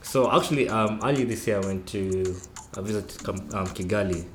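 Man, Nairobi accent, young adult, chatty and laid-back, lowish voice, echoey room. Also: fairly quick, a bit bright. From Kenya. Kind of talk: casual chat. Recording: echoey room with faint noise.

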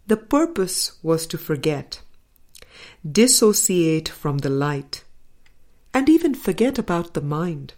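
A woman narrating a spiritual audiobook from a script, in measured, deliberate phrases with frequent pauses. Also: lowish voice, sing-song, darkish tone. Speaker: audiobook reader.

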